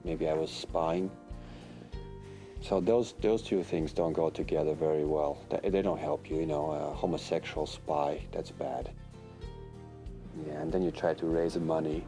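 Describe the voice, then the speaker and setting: Halting Latvian-accented man, music behind. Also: a bass voice, steady tone, warm tone. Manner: halting, with pauses and restarts. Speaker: athlete recalling a journey. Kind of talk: reflective interview.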